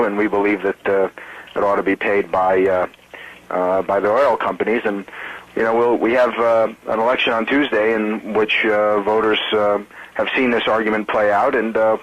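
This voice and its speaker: Low-voiced American man, halting and measured. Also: a bit bright, lo-fi sound. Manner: halting, measured, hesitant delivery. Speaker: political campaign spokesman. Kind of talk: answering reporters on a press conference call.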